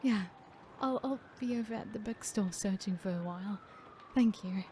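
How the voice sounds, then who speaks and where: A woman's voice: medium pitch, moderate pace, darkish tone, slight background noise, natural voice. Woman putting on a sweet, flustered innocent lady. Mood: flustered, sweetly apologetic. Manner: apologetic, hesitant, sweet. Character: innocent, flustered, polite woman searching for lost poodle. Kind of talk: ASMR roleplay acting.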